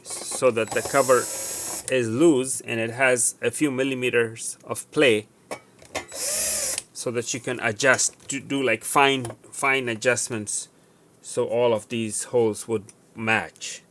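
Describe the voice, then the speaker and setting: A man presenting a casual hands-on repair demonstration, going step by step in a halting way and correcting himself. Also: medium pitch, steady tone, fairly bright tone, a little hiss or hum. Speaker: tutorial presenter.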